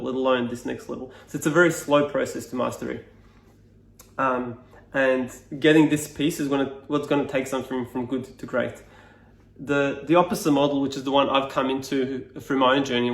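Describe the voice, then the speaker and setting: A man's voice: medium pitch, echoey room. A man whose native tongue is Serbian, a speaking coach explaining his course to camera in a reflective, loose way, with many fillers and restarts.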